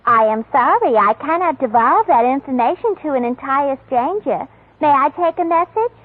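A woman's voice: high voice, expressive, moderate pace. An actress playing a flustered, ditzy young female secretary who garbles her words, in a 1940s radio drama. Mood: prim. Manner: garbled words, fluttery and gushing. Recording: tinny old radio broadcast.

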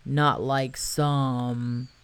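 A woman voicing a gloomy old grey male donkey in a storybook reading, speaking in a slow, sighing, mournful way. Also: extremely low pitch, level tone, smooth, no echo, voice pushed down, exaggerated voice.

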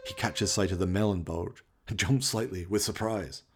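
A man's voice: low-pitched, normal speed, faint music behind. A man narrating a comic horror radio play with theatrical, dramatic storytelling.

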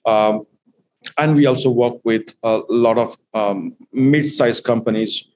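A man explains his company's work in a business interview, fluent but full of fillers as he lays out each point. His voice sits in an ordinary middle pitch. A faint hum of room noise runs behind him. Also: slow pace, darkish tone, low-quality recording. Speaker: company executive guest.

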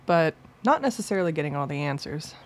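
A woman's voice: low voice, big pitch swings, quick pace, a little hiss or hum. An actress playing a weary, guarded young woman on the run who talks bluntly and swears. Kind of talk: radio play acting.

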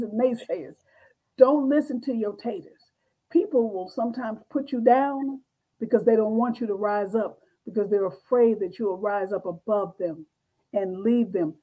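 A woman's voice: on the high side, mellow, husky, low-quality recording. A woman in her sixties, a guest author and business coach, giving a fervent, rallying motivational talk. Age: 60s. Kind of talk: motivational speaking.

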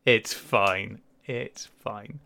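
A man's voice: on the low side, fairly even intonation, extremely slow, creaky, bright, forceful tone, no room sound. A man co-hosting a casual video-call podcast, teasing guests and laughing often. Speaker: podcast co-host. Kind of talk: casual chat.

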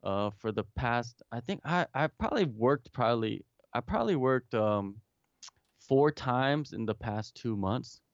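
A man's voice: mid-range voice, expressive, measured pace, no echo, dull audio. A man talking from home in a casual remote interview, rambling with many restarts and fillers. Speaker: guest, MMA interviewer and English teacher.

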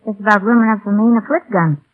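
A woman's voice: mid-range voice, racing, lightly acted. An actress playing a wry, breezy young woman in 1940s radio drama, slangy and wisecracking. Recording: tinny, band-limited vintage radio broadcast.

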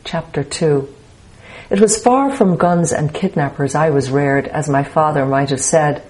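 Older Dublin woman, soft Irish lilt. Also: deep voice, poor audio. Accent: softened Dublin Irish. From Ireland, Dublin. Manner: measured, thoughtful, lets a sentence trail and restart. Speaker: author and interview guest. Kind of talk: reading her memoir, then interview chat.

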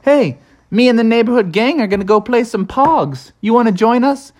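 A woman's voice: a little high, lively intonation, darkish tone, voice pushed up. A woman doing Bucky, a chipper young beaver boy. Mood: eager. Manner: eager, explains quickly.

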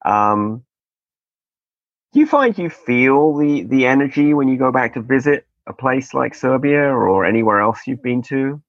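A man's voice: mid-range voice, lively intonation, unhurried, no room sound. A man hosting a podcast interview, chatting casually with wry, digressive asides. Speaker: podcast host. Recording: dull lo-fi dry sound.

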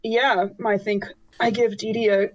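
A woman's voice: medium pitch, level tone, a little slow, dry recording. Female tabletop gamer, playful and casual, improvising, on a dry, slightly muffled mic. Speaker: player in a tabletop roleplaying game.

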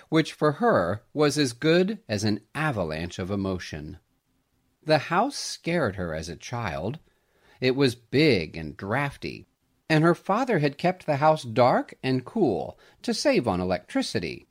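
A man reading a scripted Christmas story aloud in an expressive storytelling manner. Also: medium pitch. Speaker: storyteller, podcast host.

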